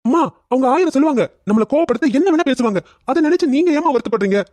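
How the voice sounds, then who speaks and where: A man's voice: extremely high pitch, lively intonation, racing, a little mellow, no echo. A man playing a grown son talking with his mother, acted in the manner of a drama serial. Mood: earnest, consoling.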